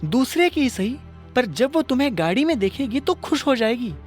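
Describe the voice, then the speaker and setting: A woman's voice: high voice, very animated, normal speed, darkish tone, faint music behind. A woman playing a playful, inquisitive, pushy young rich woman in an audio drama, teasing and probing. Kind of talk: Hindi audio drama dialogue.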